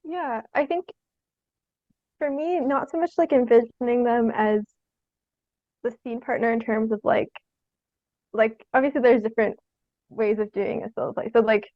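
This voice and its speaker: A young woman chats as an interview guest, her voice slightly high and heard through a dull, dry recording. She is tentative and self-effacing, often trailing off mid-thought. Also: moderate pace, pauses often, no room sound. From Ontario, Canada. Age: young adult. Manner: hesitant, self-effacing, giggly. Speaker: interview guest, theatre artist. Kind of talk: casual interview chat.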